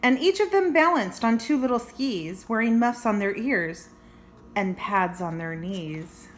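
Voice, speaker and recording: Slow-reading older woman, expressive storyteller. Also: a little high, slight background noise. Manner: expressive, exclaiming, gasping. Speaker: grandmother reading a picture book to children. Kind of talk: animated read-aloud storytelling with chatty asides. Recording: roomy, echoing home recording.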